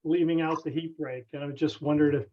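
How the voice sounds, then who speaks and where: A man with a slightly high voice talks over a dull, lo-fi conference connection. He explains a technical matter casually, haltingly and tentatively, circling back as he goes. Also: fairly even intonation. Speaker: club member asking a technical question. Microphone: conference call connection. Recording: dull, band-limited conference call audio.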